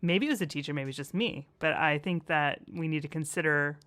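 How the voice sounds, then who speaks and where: A woman teacher co-hosting a casual podcast, cheerful and quick to laugh. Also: low-pitched, fairly bright tone.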